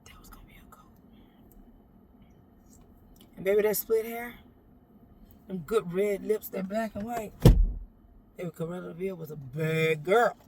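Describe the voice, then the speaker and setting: Woman, deep gravelly voice, sassy and playful, direct to camera, parked car. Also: unhurried, long pauses, darkish tone, slight background noise. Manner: sassy, playful, talks straight to the viewer and calls them baby. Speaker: makeup vlogger. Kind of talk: chatty makeup tutorial. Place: inside a parked SUV.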